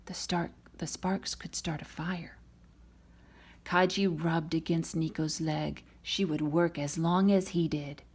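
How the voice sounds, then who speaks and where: A woman reads a children's tale aloud at a very unhurried pace. Her voice is husky and breathy, sitting a little low, and she tells it with dramatic expression. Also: darkish tone. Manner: dramatic, voices the characters' lines. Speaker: storybook reader.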